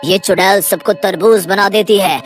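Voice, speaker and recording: A woman's voice: mid-range voice, normal speed, soft background music, natural voice. A woman voicing a solemn, fatherly tantrik holy man in a cartoon story, grave and instructive. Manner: grave, instructive, addresses others as child.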